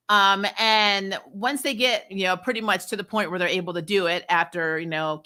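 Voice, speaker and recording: A woman hosting a casual, opinionated TV recap, animated and sarcastic, with mock quotes and some mild swearing. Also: mid-range voice. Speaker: host of a TV review channel.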